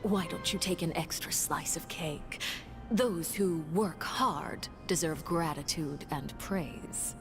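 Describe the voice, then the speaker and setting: A woman playing a courteous, subtly menacing noblewoman diplomat in a video game, speaking formally and with measured needling. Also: fairly low pitch. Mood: gracious. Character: poised, veiled-menace noblewoman diplomat at tea. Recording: music behind the voice.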